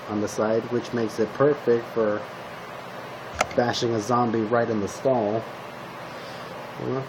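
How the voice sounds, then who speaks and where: Male, mid-range voice, calm survivor role in an improvised roleplay, unhurried short fragments, clear hum on a homemade recording. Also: medium pitch. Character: calm male zombie apocalypse survivor giving weapon tips. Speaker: roleplay video creator playing a zombie survivor. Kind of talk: casual improvised roleplay monologue to the listener.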